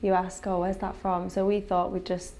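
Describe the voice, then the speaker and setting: A woman co-hosts a casual chat on camera, easygoing and quick to chime in. Her pitch is medium for a woman. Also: vocal fry. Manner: relaxed, chimes in with short agreements. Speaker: co-owner of the shop, co-host.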